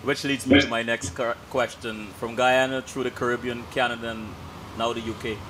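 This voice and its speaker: A man hosts a formal interview in a measured, even voice that sits at a middle pitch. Behind him is a steady hum of air conditioning. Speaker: talk show host. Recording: noisy background hum.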